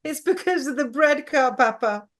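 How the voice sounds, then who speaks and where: A performer playing a pleading, sorrowful young boy in a stage drama, speaking in simple, halting phrases. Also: sits high, lively intonation, fairly bright tone. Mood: anxious, sad.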